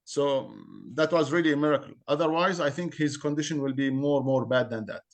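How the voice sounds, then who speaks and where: A man from Syria with an Arabic accent, a community guest speaking earnestly in halting English and repeating words as he searches for them. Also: on the high side, fairly even intonation. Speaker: guest, Assyrian community member. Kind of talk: interview on a call-in podcast.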